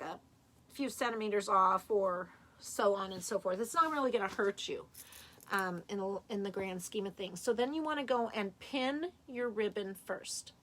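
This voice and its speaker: A woman teaching a live sewing tutorial in a chatty, hands-on way, her voice slightly raspy and tired as she works. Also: fairly high pitch, a bit bright, slight background noise. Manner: chatty, talks while working, restarts often. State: tired, a little hoarse. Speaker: sewing instructor.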